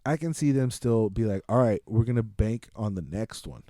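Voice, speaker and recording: A man talking casually and excitably, with slang and swearing, as he hosts a movie review podcast. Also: lowish voice, normal speed, dry recording, studio quality. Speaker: podcast host.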